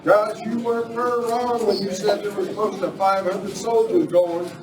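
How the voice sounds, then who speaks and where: A man's voice: high voice, fairly even intonation, moderate pace, close to an everyday voice. A man playing a folksy, easily flustered train engineer and family man in an amateur western radio play. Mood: folksy, gruff. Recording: roomy distant live recording with noise.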